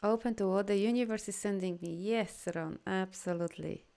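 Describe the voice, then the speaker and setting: Warm-voiced Polish woman, heartfelt. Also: normal speed, creaky, clear, resonant tone. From Poland. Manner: heartfelt, affirming, rises in pitch and volume when emphatic. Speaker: energy healer and coach hosting a live video. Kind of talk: live stream talk answering viewer comments.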